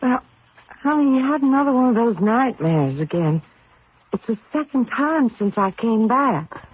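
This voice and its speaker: A supportive, coaxing wife. Her voice is very husky and animated in pitch. It sounds tinny and narrow, as on old radio. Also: unhurried, exaggerated voice. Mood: concerned. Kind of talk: old radio drama acting.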